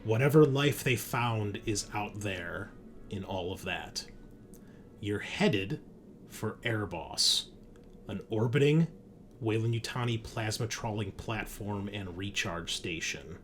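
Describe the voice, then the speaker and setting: Male tabletop RPG host, lower register, sci-fi horror game master, slight room noise behind him. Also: takes it slowly, a bit bright. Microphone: streaming setup at a computer. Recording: background noise, room ambience.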